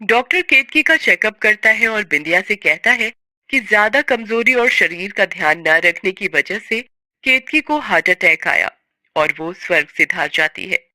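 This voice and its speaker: A woman's voice: mid-range voice, lively intonation, normal speed, bright, forceful tone, dry recording. A woman narrating a scripted story in a dramatic storytelling style.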